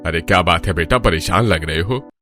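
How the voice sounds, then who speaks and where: Elderly family patriarch, music behind. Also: male voice, low-pitched, moderate pace, sharp tone. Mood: concerned. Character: elderly kindly father-in-law of a family. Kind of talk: Hindi cartoon story dialogue.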